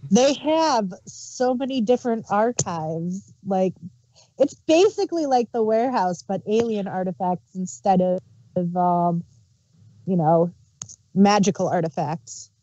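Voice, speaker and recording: A woman's voice: medium pitch, expressive, unhurried, mellow, lo-fi sound, a little hiss or hum. A woman co-hosting an audio drama review podcast, chatting casually and thinking aloud with fillers. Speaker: podcast co-host. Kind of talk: casual chat.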